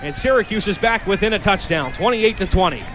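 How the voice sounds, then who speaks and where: Casting: American male radio play-by-play announcer, high-pitched voice, calling live action. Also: lively intonation, fairly quick, background noise. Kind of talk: live sports commentary.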